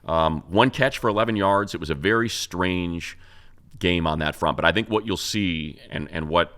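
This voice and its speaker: A man's voice: low-pitched, normal speed, fairly bright tone. A man co-hosting a sports talk show, giving casual, conversational football analysis and thinking aloud as he goes.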